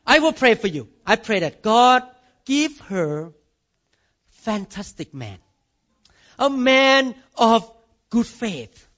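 High-voiced Thai man preaching emphatically. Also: big pitch swings, slow pace, pauses often, a bit bright, highs cut off. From Thailand. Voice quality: non-native grammar. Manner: emphatic preaching, voice rising and falling, calls of amen and hallelujah. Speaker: pastor. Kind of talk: sermon.

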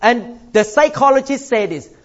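A man's voice: extremely high pitch, lively intonation, dull audio. An older man from Thailand preaching a sermon in a church, stretching out key words for emphasis. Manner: emphatic, drawn-out stresses. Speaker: pastor.

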